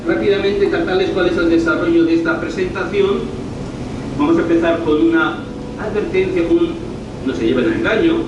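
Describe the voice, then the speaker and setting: Man, northern Spanish accent, higher-pitched voice, informal lecturer, rephrases as he goes, very reverberant room. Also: moderate pace, highs cut off. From northern Spain. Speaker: university lecturer. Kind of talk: informal academic presentation. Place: university lecture room, recorded from afar. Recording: very echoey room, distant mic, clear noise.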